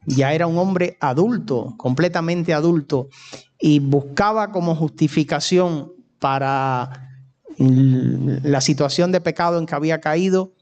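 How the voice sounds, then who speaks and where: A man from Cuba with a Cuban accent, preaching a sermon in an unhurried, conversational way and turning to his listeners with asides. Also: on the high side, a little mellow, muffled recording. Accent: Cuban Spanish.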